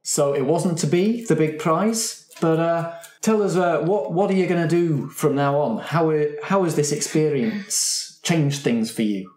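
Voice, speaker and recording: A man's voice: fairly high pitch, measured pace, darkish tone, pitched above the performer's own voice, natural voice. A man voicing an upbeat awards-ceremony interviewer, drawing out the questions in a presenter's manner. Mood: bright, inquiring. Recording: roomy, echoing room recording.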